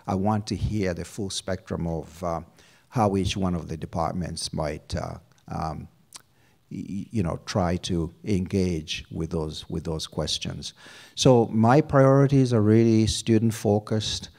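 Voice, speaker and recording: A middle-aged man from Uganda, a university provost, answering interview questions on a campus stage with a handheld mic, measured and full of fillers. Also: low-pitched, creaky, a little mellow. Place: lounge stage before an audience. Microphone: handheld microphone.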